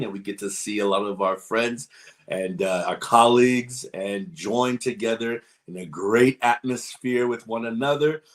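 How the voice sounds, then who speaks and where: A man moderating an interview series, upbeat and effusive as he welcomes viewers and introduces his guest. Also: medium pitch. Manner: upbeat, effusive, repeats "excited". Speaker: host, moderator.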